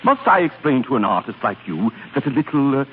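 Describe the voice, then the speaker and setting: An actor playing a suave, condescending aristocratic suitor in 1930s radio drama style. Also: male voice, medium pitch, sing-song, quick pace, lightly acted. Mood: smoothly condescending. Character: smooth, evasive aristocratic philandering suitor. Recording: muffled, noisy 1930s radio recording.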